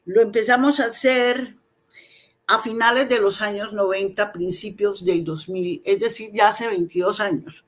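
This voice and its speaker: Woman, middle pitch, very slow and hesitant; academic talk over a video call. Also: fairly even intonation. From Colombia. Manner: slow and measured, with frequent hesitations and repeated words. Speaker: invited professor and researcher. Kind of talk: academic seminar presentation.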